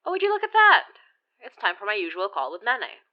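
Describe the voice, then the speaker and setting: A woman in her twenties playing an awkward, self-deprecating young woman in a naturalistic audio drama, rambling haltingly with sighs. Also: mid-range voice, huge pitch swings, dull audio, slight background noise. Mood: apologetic, chatty. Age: 20s. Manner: halting, sighing, rambling confessional. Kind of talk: naturalistic audio drama acting, phone monologue.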